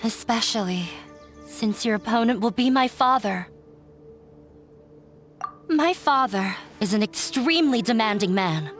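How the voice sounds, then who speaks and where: A woman's voice: on the high side, expressive, unhurried, long pauses. A woman playing a resigned, self-sacrificing young daughter in a video game, speaking in a melancholic manner. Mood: bitter sadness. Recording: studio voice over clear background music.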